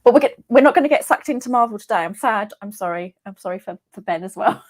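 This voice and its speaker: A woman's voice: on the high side, expressive, fast. A woman hosting an online video-call session, chatty and giggly, with plenty of ums. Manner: chatty, giggly, many fillers. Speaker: host.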